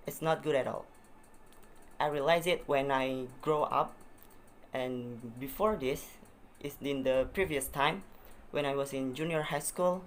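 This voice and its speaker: Young Indonesian man, English not his first language, chatty vlog delivery, halting and repetitive, light background noise. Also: fairly high pitch. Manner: hesitant, repeats phrases, many uh fillers. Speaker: vlogger. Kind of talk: casual self-introduction to camera.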